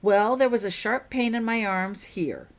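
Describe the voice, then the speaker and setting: A woman's voice: medium pitch, a little slow, gravelly, pitched above the performer's own voice. A woman voicing an earnest, hesitant young schoolgirl witch in a storybook reading.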